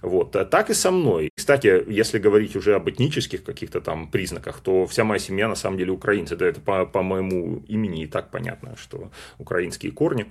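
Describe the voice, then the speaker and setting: Man from Russia, casual reflective talk, gravelly, husky, hesitant with many fillers. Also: low voice, brisk, hardly any pauses. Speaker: speaker on personal identity.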